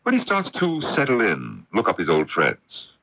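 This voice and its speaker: Deep, gravelly, sardonic father. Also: huge pitch swings, moderate pace, pushed and bright, exaggerated voice, low-quality recording. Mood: uneasily cheerful. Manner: blunt, sardonic, uneasy. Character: worried, skeptical, sardonic older father and husband. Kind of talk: radio drama acting.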